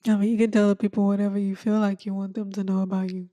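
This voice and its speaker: A woman in her twenties from Texas, co-hosting a casual podcast chat with plenty of laughter and drawn-out fillers. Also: mid-range voice, flat delivery, dark tone. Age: 20s. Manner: laid-back, laughs often, drawn-out fillers. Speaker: podcast co-host.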